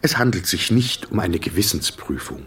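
Lowish, sober male narrator. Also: expressive, normal speed, a bit bright, husky, distant mic. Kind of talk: scripted literary narration for radio drama.

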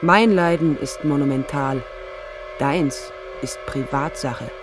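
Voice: medium pitch, slow pace, over music, lightly acted. A performer in a German radio play voicing a cold, accusing parent returned from the dead. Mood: cold accusation.

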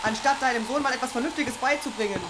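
A woman's voice: sits high, quick pace, close to an everyday voice. A woman playing a worried, nagging, reproachful middle-aged mother in a radio play. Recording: roomy sound with clear noise.

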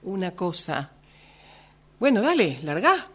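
Radio drama: ageing Argentine mother, played by a woman, clingy, halting, slow delivery with big pitch swings. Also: big pitch swings, fairly bright tone, heavily acted. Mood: guarded. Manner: halting, incredulous. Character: clingy ageing mother, dismayed by grown daughter. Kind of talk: improvised radio theatre workshop exercise.

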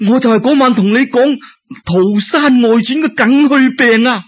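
A lovesick young male scholar, as a storyteller puts him on, speaks high and urgently with a Cantonese accent. He is impassioned and beseeching, his husky voice swooping through a storybook romance on a lo-fi recording. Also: moderate pace. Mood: ardent. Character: lovesick, fearless young scholar, pleading ardently. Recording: dull lo-fi radio-like recording.

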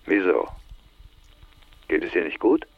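An enigmatic older man with a low, gravelly voice and lively, teasing intonation. He talks wryly and with measure in a radio drama, over a slightly muffled telephone line. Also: a little slow, no room sound, heavily acted. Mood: knowing, measured. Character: teasing, enigmatic older man, long-lost friend calling. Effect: telephone filter. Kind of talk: radio drama phone dialogue. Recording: slightly dull, band-limited phone line.

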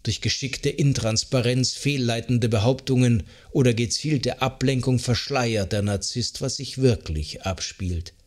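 A man reads scripted nonfiction as an audiobook narrator, in an articulate, measured, explanatory way. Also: mid-range voice. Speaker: audiobook narrator of a psychology self-help book.

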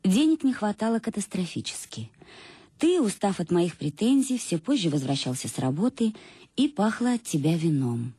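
An actress playing a wistful married woman in a radio play, giving an intimate, reflective confession in a measured way. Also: fairly low pitch, very animated, warm tone, breathy edge, no room sound. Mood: wry, weary. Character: wistful married woman recalling her fading love. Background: music, guitar.

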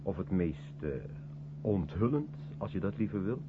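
Musing, probing man, very low voice, deliberate and wry, noisy thin-sounding 1970s radio drama. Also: expressive, narrow, tinny audio. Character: reflective, sceptical South African man of colour, probing. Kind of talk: 1970s Dutch radio play acting. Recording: thin, noisy old radio recording with hum.

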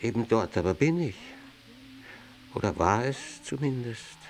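Gravelly, brooding lovesick gentleman. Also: mid-range voice, animated, measured pace. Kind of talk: acted literary miniature.

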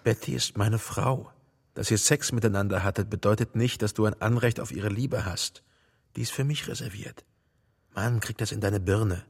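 A possessive husband in a radio drama, controlled and condescending. His voice is low and husky, and he lectures with an air of superiority. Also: moderate pace. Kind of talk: naturalistic German radio drama acting.

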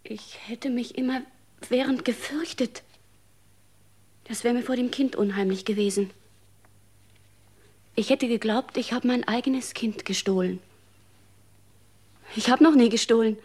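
A scared mom in a radio play, kinda high voice, whispery then loud. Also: female voice, measured pace, pauses often, slightly rough. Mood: fearful. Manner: pleading, shifts from hushed whisper to raised protest. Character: grieving honest mother, fearful and defensive. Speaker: mother at a funeral. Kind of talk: radio drama acting.

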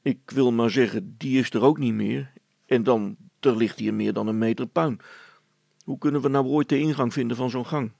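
A man's voice: medium pitch, normal speed, creaky, darkish tone, no echo. A man voicing a plain-spoken, worried and sceptical amateur inventor in a storybook, talking in a colloquial, grumbling way. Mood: doubtful, grumbling.

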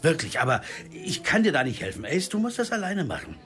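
A man's voice: a little high, huge pitch swings, fairly quick. A man playing a reluctant, wary American jazz pianist and former detective in a radio play, dry and guarded. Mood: dismissive, firm. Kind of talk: German radio play dialogue and inner narration. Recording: music playing behind the voice. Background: music.

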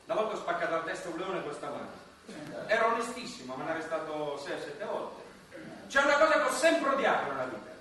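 A man's voice: on the high side, sing-song, quick pace. An actor playing a boisterous eccentric man, theatrical and exclamatory, in a radio comedy drama. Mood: boastful. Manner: exclamatory, theatrical, swinging from shouts to murmurs. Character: boisterous eccentric man boasting of absurd past lives. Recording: very echoey, distant, noisy room recording.

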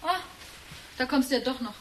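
A woman's voice: high-pitched, lively intonation, fairly quick, slight background noise. An actress playing a scornful woman leaving her older partner in a German radio drama, dismissive and pointed. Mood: cool, scornful.

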